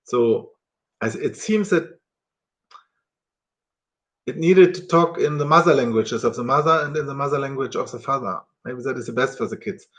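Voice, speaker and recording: A middle-aged German man talking casually to camera in halting, self-correcting English with a German accent. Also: medium pitch, fairly bright tone.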